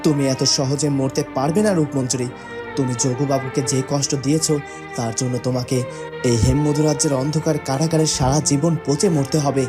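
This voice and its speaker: A man's voice: mid-range voice, steady tone, normal speed, close to an everyday voice. A man playing a stern, vengeful young prince in a fairytale cartoon, commanding and accusing in a dramatic way. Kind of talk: melodramatic fairytale cartoon acting. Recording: music under the voice.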